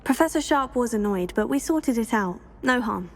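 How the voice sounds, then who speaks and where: A woman's voice: a little high, animated, moderate pace, a little hiss or hum. A woman acting a polite, composed teenage student witch in a video game. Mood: friendly. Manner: courteous, composed.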